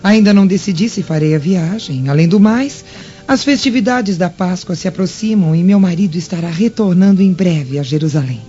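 An actress playing a gracious, composed governor's wife of ancient Rome in a courteous radio drama style. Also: female voice, on the low side, brisk, warm tone, natural voice. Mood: calm. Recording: dull lo-fi radio drama, music underneath.

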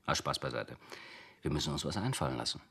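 An actor playing a jovial, bantering office colleague of fifty in a German radio drama. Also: male voice, rumbling low, animated, fast, gravelly, fairly bright tone, slightly rough, heavily acted. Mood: teasing, chummy. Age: 50s. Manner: bantering, mocking. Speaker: supporting actor.

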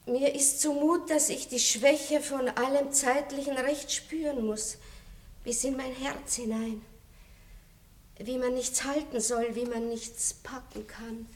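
An actress playing an aging noble princess in a radio play, melancholy and tender. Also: female voice, high voice, fairly even intonation, takes it slowly. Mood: wistful. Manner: melancholy, drops to a whisper in reflection. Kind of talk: 1960s radio play acting.

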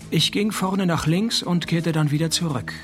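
A fairly high-pitched man acting as a wry private detective in a radio play. His narration and dialogue are dry, sarcastic and deadpan, with faint music. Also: moderate pace, lightly acted. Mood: wry, matter-of-fact. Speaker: narrator and lead character.

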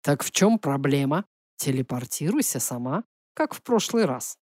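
Женщина в роли низкоголосого самоуверенного принца. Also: female voice, sits low, expressive, darkish tone, no echo, studio quality, voice pushed down. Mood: provoking.

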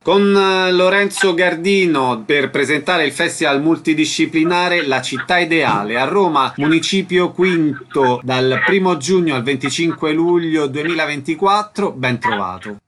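A man's voice: a little high, expressive, unhurried, hardly any pauses, fairly bright tone, a little hiss or hum. A man working as a radio host, presenting from a script.

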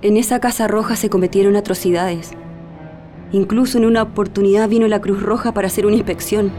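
Grave woman witness played by an actress, mid-pitched, sorrowful and insistent reminiscence, radio play with soft music underneath. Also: fairly even intonation, fairly quick, natural voice. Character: sorrowful woman insistently recalling atrocities against prisoners. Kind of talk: radio drama acting, reminiscence.

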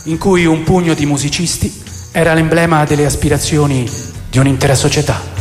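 An actor playing a solemn, reflective narrator in a scripted radio drama. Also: male voice, a little high, fairly even intonation, fairly quick, natural voice. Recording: echoey long reverb under clear music. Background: music.

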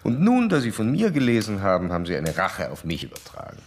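A stern psychoanalyst in a radio drama, very low and creaky. He lectures with lively swings of pitch in formal, condescending phrases, over faint noise. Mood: smug, lecturing. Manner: formal, measured, old-fashioned diction.

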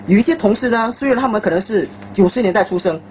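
A woman's voice: medium pitch, animated, quick pace, darkish tone, a little hiss or hum. A woman with a Singaporean accent in a casual discussion, repeating words for emphasis.

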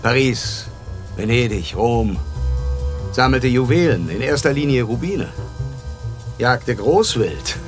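Radio drama, rich gentleman with a mid-pitched voice, suave old-fashioned familiarity, grand boasts, music bed. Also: lively intonation, takes it slowly, long pauses. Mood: boastful, wistful. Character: wealthy, self-mythologizing gentleman, courteous and secretive. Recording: studio radio play with music underneath.

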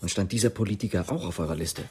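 A man's voice: low voice, fast, slight background noise, lightly acted. An actor playing a wary young East German policeman in a radio drama, terse, questioning and incredulous. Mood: incredulous, probing.

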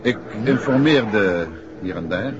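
An actor playing a calm, probing amateur detective in a 1960s Dutch radio play, with polite, measured questioning. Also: male voice, on the low side, noisy background. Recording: muffled vintage 1960s radio recording.

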